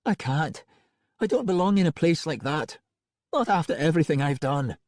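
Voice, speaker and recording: Man doing a tearful ashamed older woman, high soft voice, numb, dry close sound. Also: lively intonation, normal speed, no room sound. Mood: resigned. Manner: numb, trembling pleading. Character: weeping aging woman, heartbroken and ashamed. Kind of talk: audiobook character voice.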